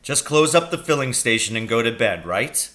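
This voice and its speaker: A man reading a steady, caring father who speaks firmly and reassuringly. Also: medium pitch, normal speed, lightly acted. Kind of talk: audiobook character reading.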